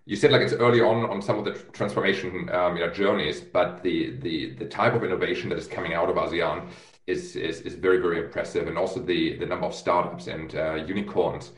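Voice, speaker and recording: A man's voice: low-pitched, fairly even intonation, a little fast. A man hosting a business interview, asking long questions with many fillers and restarts. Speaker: host, chief executive of a research firm. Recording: echoey room.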